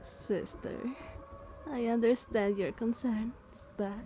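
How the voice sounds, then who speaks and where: Woman acting a playful, slow-talking younger vampire sister. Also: medium pitch, dark tone, a little hiss or hum, lightly acted. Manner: slow, teasing, breathy half-whispers. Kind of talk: ASMR roleplay acting.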